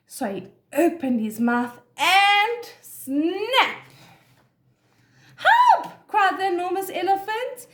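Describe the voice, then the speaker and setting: A woman's voice: high-pitched, reverberant. A woman reading a children's story aloud as a nursery teacher, expressively and directly to young listeners. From South Africa.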